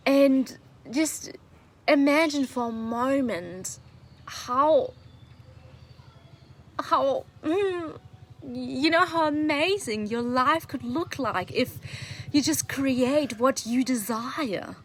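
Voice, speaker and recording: A woman's voice: high-pitched, lively intonation, very slow, pauses often. A young woman, a native German speaker, talking in English as a podcast coach in an earnest, enthusiastic live monologue with many fillers.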